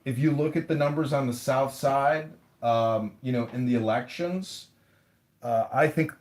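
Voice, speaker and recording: A man's voice: medium pitch, unhurried, a little mellow. A man running for office, talking casually in an interview, blunt and rambling, with the odd swear word. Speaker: mayoral candidate.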